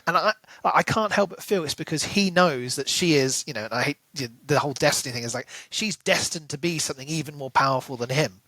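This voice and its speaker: A man co-hosting a podcast, talking through a book casually and animatedly, often thinking aloud in halting bursts. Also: on the high side, bright, forceful tone, dry recording, low-quality recording. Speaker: podcast co-host.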